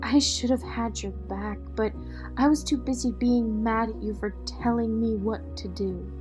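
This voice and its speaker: A woman's voice: fairly high pitch, fairly even intonation, measured pace, close to an everyday voice. A woman acting a loyal, no-nonsense ally of a vigilante in an audio drama. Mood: regretful, frank. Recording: faint music behind the voice.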